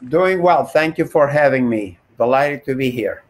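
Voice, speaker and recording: An older man from Turkey, a rabbi, giving measured, scholarly explanations as an interview guest. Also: mid-range voice, animated, slight background noise.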